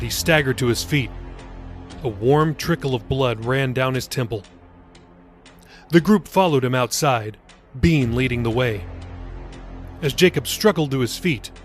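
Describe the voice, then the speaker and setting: A man reading his own novel aloud as dramatic, scripted audiobook narration. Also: mid-range voice. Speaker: author reading own novel, podcast host. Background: faint music.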